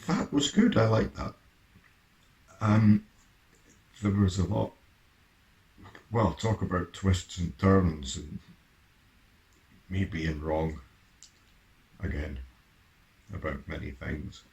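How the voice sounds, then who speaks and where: A man with a gravelly voice giving a casual, halting, musing reaction and review as host. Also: low voice, darkish tone, rough recording.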